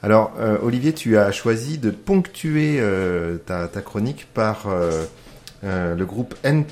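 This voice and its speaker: Hesitant, wry male radio host, lowish voice. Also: lively intonation, a little slow, a little mellow. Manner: hesitant, many fillers, wry. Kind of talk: live community radio chat. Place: radio studio.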